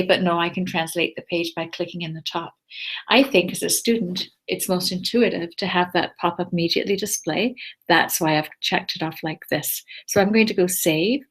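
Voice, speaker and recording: A woman with a fairly low voice talks through a screen-share tutorial. She explains each click patiently and step by step, pausing on frequent ums. Her tone stays plain and instructional. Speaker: teacher giving a tech tutorial. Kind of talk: screencast walkthrough.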